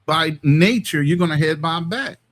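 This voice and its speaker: A man's voice: on the high side, moderate pace, a crowd in the background. An American man in a casual live discussion, colloquial and persuasive, putting questions to the others.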